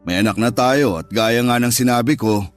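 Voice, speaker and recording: Man playing jealous rich boyfriend, Filipino accent, firm controlling manner, mid-pitched voice, radio drama, soft music bed. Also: moderate pace, close to an everyday voice. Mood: placating. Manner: possessive, firm, reassuring turning threatening. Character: rich, jealous, controlling businessman lover, providing yet menacing. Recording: music bed under the dialogue.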